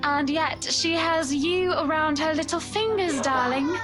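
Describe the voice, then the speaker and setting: Casting: actress as elegant, teasing wife in a visual novel, high voice, polite but firm and coaxing. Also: female voice, measured pace, music in the background. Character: gracious, poised upper-class wife, gently teasing and firm. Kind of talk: visual novel character acting.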